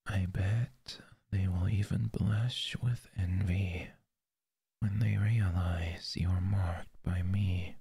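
A man playing a possessive, jealous lover in an audio roleplay. He speaks softly and intimately into a close microphone, often in a whisper. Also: lowish voice, slow, creaky, long pauses, husky, dry recording, full character voice. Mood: teasing.

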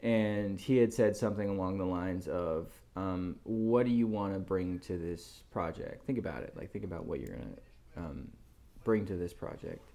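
A man, the lead actor in a film, looking back in an interview in a halting, casual way, often repeating himself. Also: sits low, vocal fry, dark tone. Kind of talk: reflective interview recollection.

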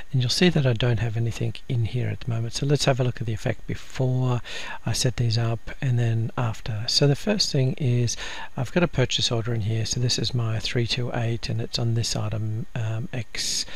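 A man presenting a software tutorial as a screencast voice-over, explaining informally step by step. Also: medium pitch, creaky. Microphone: screen-recording voice-over.

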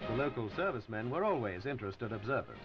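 An old, dull film soundtrack carries a man narrating a newsreel over prominent music. He speaks as a formal 1950s announcer, measured and scripted, in a mid-pitched voice. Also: sing-song.

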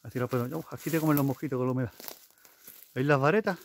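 Rambling Spaniard on a country walk. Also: male voice, medium pitch, steady tone, quick pace, slight background noise. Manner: chatty, rambling, self-correcting, mild swearing. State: walking downhill over stones. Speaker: outdoor vlogger. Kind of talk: casual walking vlog. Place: outdoors in rural fields. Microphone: handheld camera on selfie stick.

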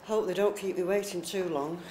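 A woman's voice: lowish voice, a little fast, warm tone, natural voice. A woman playing an anxious, grumbling blind hospital patient in a naturalistic audio drama, often muttering her worries to herself. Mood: fretful. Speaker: actor in an amateur audio drama. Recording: noisy, echoey room with ambience.

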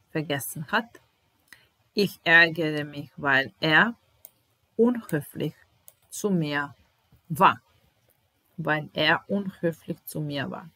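A woman in her thirties with a Serbian accent, teaching German slowly, repeating each phrase while typing, in a small room at home. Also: low-pitched, sharp tone, no echo, rough recording. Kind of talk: slow, deliberate language lesson.

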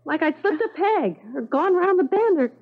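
An actress playing a headstrong, bewildered young American bride, frank and agitated, in a radio drama. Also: female voice, very high voice, expressive, moderate pace. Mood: indignant, flustered. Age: young adult. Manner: insistent, agitated questioning. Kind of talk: 1970s radio drama acting with first-person narration. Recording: dull 1970s radio recording, faint music.

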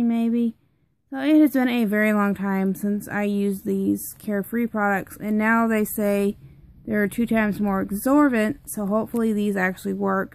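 A young woman with a stuffed-up, congested voice talks through a product review at home, rambling and chatty with plenty of fillers. Her voice sits at a medium pitch, and the sound is dry with no room echo.

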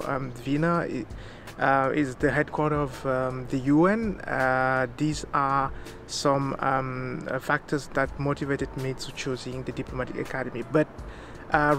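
A young man from Cameroon, a master's student answering interview questions outdoors in a campus courtyard, halting with many fillers. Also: medium pitch, slight background noise. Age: 20s.

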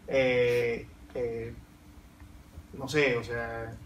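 A man's voice: medium pitch, level tone, extremely slow. Peruvian man at a panel, roomy and distant. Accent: Peruvian Spanish. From Peru. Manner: thoughtful, many restarts and fillers. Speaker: panel guest writer. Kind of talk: panel discussion answers. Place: university lecture room, seated at panel table. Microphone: table stand mic heard from far camera. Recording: roomy, distant, faint hiss.

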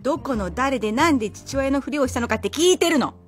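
A woman's voice: high voice, lively intonation, fast talker, faint music behind. A woman acting a curt, commanding, easily exasperated professional in a radio drama. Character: brusque, bossy, exasperated female senior colleague. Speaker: actor.

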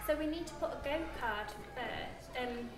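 A woman's voice: high-pitched, moderate pace, over music. A British woman, a primary school teacher, explains things step by step to young children with plenty of questions. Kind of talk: interactive classroom lesson with young children. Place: indoor classroom with wooden floor. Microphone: camera a short distance away. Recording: echoey classroom, picked up at a distance.

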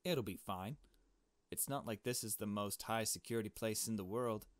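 A scheming rich girl from a visual novel, read by a man in a lowish voice. She is assured, matter-of-fact and dismissive. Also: moderate pace, dry recording, lightly acted.

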